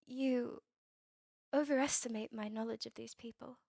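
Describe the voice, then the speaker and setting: A woman playing a melancholy, courteous vampire in an ASMR roleplay drama, with formal, old-fashioned, measured phrasing. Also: on the high side, fairly even intonation, gravelly, darkish tone, no room sound, studio quality. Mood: guarded. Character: melancholy, courteous female vampire, turned young over a century ago.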